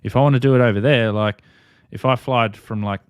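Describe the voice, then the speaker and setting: A man's voice: medium pitch, fairly even intonation, fairly quick, smooth, no room sound, studio quality. A man with an Australian accent hosting an informal, chatty group conversation, with a joking way of talking. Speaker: host of a ham radio livestream. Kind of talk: casual group chat.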